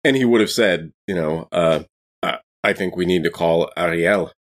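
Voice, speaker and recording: A man's voice: low-pitched, moderate pace. A man playing in a tabletop game, talking casually and describing what his character does.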